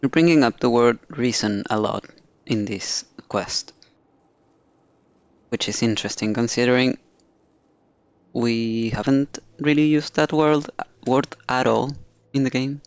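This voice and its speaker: A man's voice: mid-range voice, a little slow, pauses often, dry recording, highs cut off. A man streaming a game, reading dialogue aloud and adding casual commentary.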